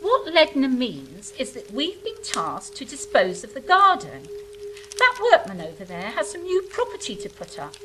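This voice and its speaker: An actress playing a composed, matter-of-fact angel in a stage drama. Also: female voice, sits high, wildly swooping pitch, moderate pace. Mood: composed, explaining. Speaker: stage actor. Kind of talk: theatrical stage drama acting. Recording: clearly noisy stage recording with hum.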